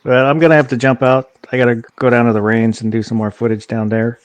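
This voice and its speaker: Male stream guest, mid-pitched, conversational and informal, recorded dry. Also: level tone, fast, smooth, no echo. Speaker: guest caller on a livestream. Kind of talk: casual chat.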